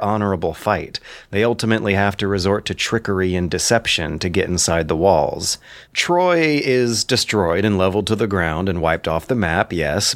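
Man, deep voice, scripted explanatory narration, erudite, articulate, emphatic key words, dry close-mic sound. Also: no echo. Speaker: narrator and commentator. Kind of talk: scripted explanatory commentary, like a history essay.

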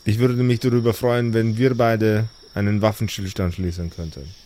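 A man playing a calm, polite former villain seeking peace in an improvised audio drama. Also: fairly low pitch, a little hiss or hum, lightly acted. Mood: conciliatory, regretful. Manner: polite, measured, conciliatory. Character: calm, courteous repentant male former villain. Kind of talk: improvised German audio drama role-play.

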